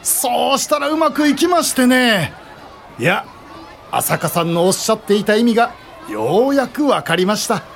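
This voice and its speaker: An actor playing an eager, grateful child welfare officer in a radio drama, speaking politely and excitedly. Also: male voice, extremely high pitch, normal speed, gravelly, some chatter behind, a little hiss or hum, full character voice.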